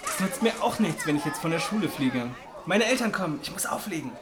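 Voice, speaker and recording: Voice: mid-range voice, sing-song, a little fast, over a noisy crowd, lightly acted. An actor playing a sulky, exasperated teenage schoolboy in a radio play. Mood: anxious. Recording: clearly noisy recording.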